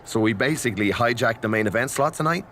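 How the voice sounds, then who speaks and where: A man's voice: mid-range voice, lively intonation, quick pace, fairly bright tone, natural voice. A man playing a sympathetic wrestler ally in a game cutscene, talking earnestly and supportively over slight background noise. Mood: eager. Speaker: wrestler character. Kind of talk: conversational video game cutscene.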